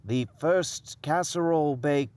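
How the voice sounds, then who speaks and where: A man playing a folksy, hammy small-town radio pitchman in a comedic audio drama, with a hesitant, animated delivery. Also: a little slow, smooth, close to an everyday voice. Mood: stumbling promotion. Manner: hesitant, animated, alliterative patter. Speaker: radio announcer reading a sponsor spot.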